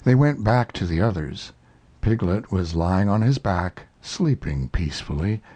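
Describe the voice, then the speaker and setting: A man narrates a children's storybook in a low, very mellow voice. His reading is scripted and highly animated, moving widely up and down in pitch. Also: unhurried. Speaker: audiobook narrator.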